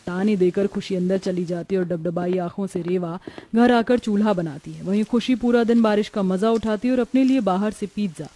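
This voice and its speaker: A woman's voice: medium pitch, brisk, few pauses, mellow. A woman storyteller delivering scripted narration for a moral tale.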